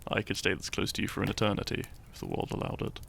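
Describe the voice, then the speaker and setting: A man playing a shy, tender young hero in love in a romantic audio roleplay, speaking gently and intimately. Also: fairly low pitch, monotone, gravelly, a little hiss or hum. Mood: affectionate, playful. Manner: slow, gentle, intimate, halting.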